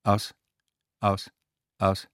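An actor playing one sober voice in a chorus of undocumented migrant workers, reciting clipped, list-like statements in a radio play. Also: male voice, extremely slow, fairly bright tone, no echo, studio quality.

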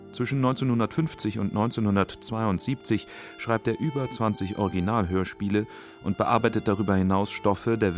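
Man, low voice, scripted documentary narration, music behind.

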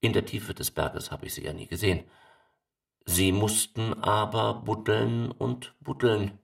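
Callous male overseer, documentary monologue, slow gravelly delivery, flatly businesslike about grim things. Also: lowish voice. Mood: detached, matter-of-fact. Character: callous male camp overseer, matter-of-fact, recounting prisoner losses.

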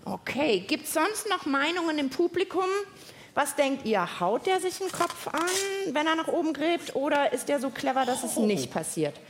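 A high-pitched woman moderating a children's science show live on stage. Her intonation swings widely as she puts simple, playful questions to the audience. There is faint noise behind her. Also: big pitch swings, normal speed. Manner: asks many questions, explains in simple playful words. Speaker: host of a children's science podcast.

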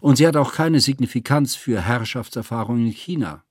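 A man's voice: medium pitch, a little fast, a little mellow, husky, no room sound, clean studio sound. A man narrating a scripted literary text in a formal, matter-of-fact way.

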